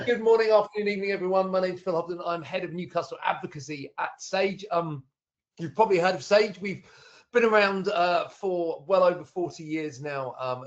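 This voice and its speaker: A man introducing himself as a guest from a software company on a webinar panel, upbeat with a joking streak. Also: fairly high pitch. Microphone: video call. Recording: dull lo-fi web call audio.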